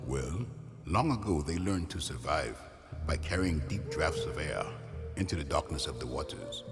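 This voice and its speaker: A man acting a calm, wise native guide in an audio drama, speaking in a measured, explanatory way. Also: a bass voice, slightly rough, exaggerated voice. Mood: wise, explaining. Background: music.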